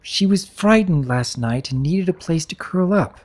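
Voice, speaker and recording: A man voicing an awkward, smitten young male unicorn baron with an earnest, pleading, hurried manner. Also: on the high side, lively intonation, voice pushed up. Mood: eager, pleading.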